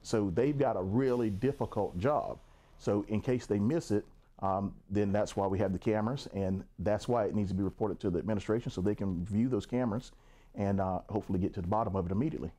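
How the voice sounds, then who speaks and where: A man's voice: lowish voice, fast talker, mellow. A man answering interview questions as a school district official. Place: small office, indoors.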